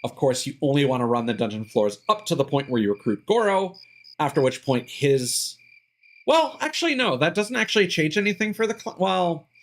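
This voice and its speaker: A man giving casual live gameplay commentary, explaining details in a halting way and thinking aloud with asides. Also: a little high, big pitch swings. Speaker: game streamer.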